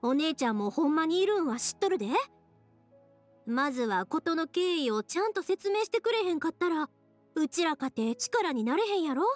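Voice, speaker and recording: A woman voicing a warm, spirited big-sisterly young woman with a Kansai accent, caring and informal, in an anime game. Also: high voice, big pitch swings, normal speed, soft background music. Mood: calming. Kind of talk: anime-style video game acting.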